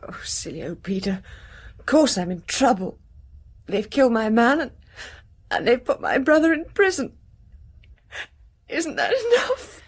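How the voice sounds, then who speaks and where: A fragile young English aristocrat in a radio drama. Her upper-class voice is fairly high and husky, swinging widely in pitch. She sounds distraught, halting and pleading. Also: very animated, exaggerated voice. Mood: distraught, bitter. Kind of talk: British radio drama acting.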